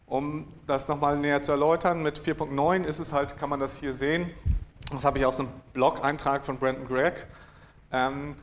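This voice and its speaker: A man from northern Germany giving a technical conference talk, dry and hesitant, with many fillers and frequent throat clearing. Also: on the high side, level tone, normal speed. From East Frisia, northern Germany. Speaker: conference speaker. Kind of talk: technical talk to a live audience.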